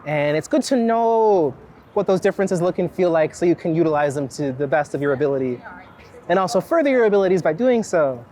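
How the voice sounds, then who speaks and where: A man's voice: on the high side, expressive, fairly quick, dark tone. A man talking casually and reflectively as a street photographer while out walking in a city, often breaking off mid-thought. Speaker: street photographer being interviewed. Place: outdoors on a busy city street. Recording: outdoor city street noise, traffic.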